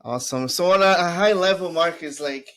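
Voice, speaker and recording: A man with a Portuguese accent hosts an interview in a chatty, enthusiastic way. His voice sits a little high for a man. He talks loosely and fills pauses with small fillers. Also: fairly bright tone. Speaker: podcast host. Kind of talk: casual interview chat.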